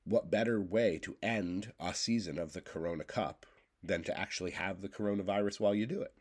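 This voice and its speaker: A man's voice: low voice, a little fast, darkish tone, slightly rough. Congested man rambling on a podcast. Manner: loose, stream-of-consciousness rambling. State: congested, with a cough. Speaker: podcast host. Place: home bedroom.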